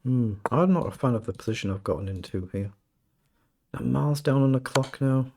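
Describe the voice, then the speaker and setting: A British man with a slightly croaky voice, casually commentating his own chess game and thinking aloud. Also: medium pitch, fairly quick, a little mellow. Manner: thinking aloud, self-questioning. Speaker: chess streamer.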